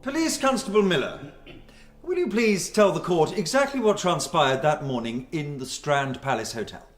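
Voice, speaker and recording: An actor playing a stern, formal prosecuting barrister with a declamatory manner in a radio drama. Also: male voice, a little high, lively intonation, normal speed, faint music behind, natural voice. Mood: stern, probing. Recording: echoey courtroom-like room sound.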